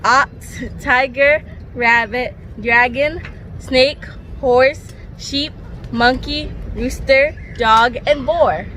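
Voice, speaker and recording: A female presenter of educational material for children, speaking deliberately. Her voice is high and unhurried, with faint outdoor noise in the background. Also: fairly bright tone.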